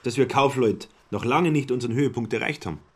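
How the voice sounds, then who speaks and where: Actor as a husky, smug small-time businessman. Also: medium pitch, fast talker, natural voice. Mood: self-assured. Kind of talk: literary radio drama dialogue.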